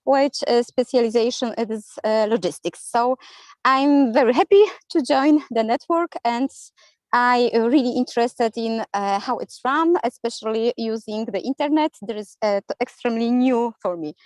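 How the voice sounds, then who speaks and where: A woman with a slightly high voice introduces herself politely. The sound is dry and close, as on a video call. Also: normal speed, darkish tone, no room sound. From Poland. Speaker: university international office head.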